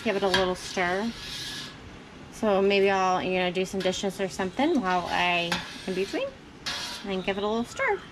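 Woman narrating casually, noisy kitchen recording. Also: medium pitch. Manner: informal, explaining step by step. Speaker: homesteader demonstrating a recipe. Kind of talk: casual how-to narration while cooking. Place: home kitchen, indoors. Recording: clear background noise, clicking kitchen sounds.

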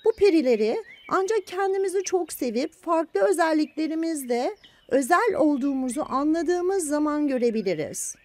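A woman voicing a cheerful, wide-eyed little girl in a children's cartoon, with expressive delivery. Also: high-pitched. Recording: music bed behind the voice.

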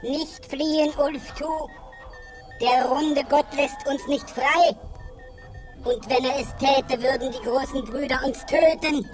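A woman playing a timid, frightened jungle tribe native in a sci-fi radio play, speaking haltingly and fearfully. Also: sits high, fairly even intonation, faint music behind, poor audio. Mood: pleading, alarmed. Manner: slow, halting, fearful, chanting foreign-sounding words. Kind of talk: 1980s German children's sci-fi radio play acting.

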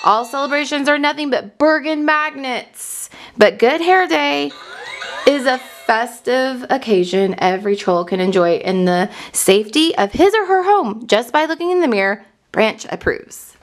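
A woman reading a children's storybook aloud in an excited, expressive manner and enunciating for young listeners. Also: high voice, moderate pace, slight background noise.

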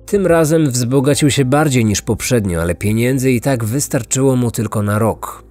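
A man narrating a scripted true-crime story as a podcast host, in a measured storytelling manner. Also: medium pitch, a little mellow, faint music behind.

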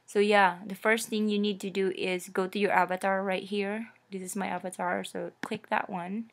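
A woman's voice: medium pitch, little pitch movement, normal speed. Young filipino-native woman chatting through a screen tutorial, lots of ums and restarts. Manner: chatty, explains step by step with many fillers and restarts. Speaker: YouTube tutorial host. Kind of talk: screen-recorded tutorial narration.

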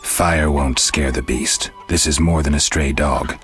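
A stoic, world-weary monster slayer in a fantasy video game. The voice sits extremely low, with terse, deadpan delivery. Faint music runs behind it. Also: male voice, unhurried. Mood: calm, terse.

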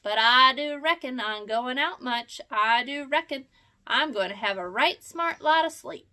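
A woman's voice: high voice, lively intonation, measured pace, sharp tone, heavily acted. A woman reading aloud voices a jolly, teasing old male possum with a drawling folksy dialect.

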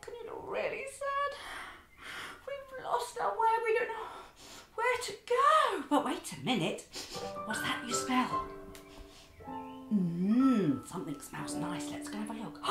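A woman's voice: sits high, sing-song, slow pace. A middle-aged woman leads an interactive story drama class for young children in a theatrical, coaxing, exclamatory way. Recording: roomy echo, loud music behind. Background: loud keyboard music.

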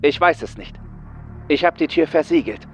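A man's voice: a little high, animated, normal speed, soft background music, voice pushed up, natural voice. A man voicing an anxious female astronaut in a horror audiobook, urgent and panicky. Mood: panicked. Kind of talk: dramatised horror audiobook dialogue.

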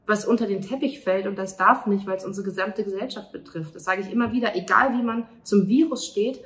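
A woman's voice: mid-range voice, fairly quick. A woman in her thirties answering an interview in an earnest, reflective, conversational way into a handheld microphone. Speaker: playwright and actress. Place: indoors at a restaurant table by a window. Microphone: handheld microphone held by interviewer.

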